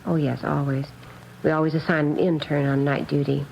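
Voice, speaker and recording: A low-voiced nun speaks with a prim, earnest innocence and careful diction. There is a creak in her voice. It is classic fifties radio drama on a dull recording with faint noise. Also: little pitch movement, fairly quick, a little mellow. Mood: earnest, precise. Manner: prim, proper, carefully enunciated. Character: earnest, precise, naive nun running a hospital pharmacy. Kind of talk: 1950s radio drama acting.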